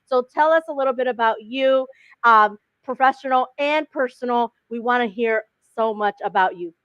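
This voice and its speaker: A woman's voice: a little high, normal speed, fairly bright tone, clean tone, no room sound. Effusive woman host, dull dry call audio. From born in Philadelphia, raised in Chicago. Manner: effusive and encouraging, repeats praise. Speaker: interview host. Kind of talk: casual interview conversation.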